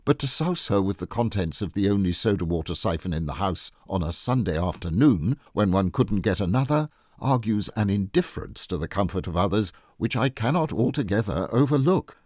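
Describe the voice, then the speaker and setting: A man reading the part of an imperturbable, free-spirited Edwardian lady governess in a storybook, lofty, decisive and deadpan. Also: lowish voice, fairly quick, few pauses, no echo, clean studio sound. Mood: deadpan, scandalous.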